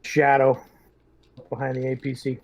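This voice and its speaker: A man's voice: mid-range voice, monotone, normal speed. A man playing a tabletop game, casually speaking in character as a military lieutenant. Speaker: player in a tabletop roleplaying game.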